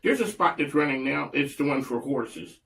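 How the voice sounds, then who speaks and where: Animated, chatty male radio host. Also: mid-range voice, normal speed, gravelly, poor audio. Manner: animated, chatty, laughing often. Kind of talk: radio talk show interview.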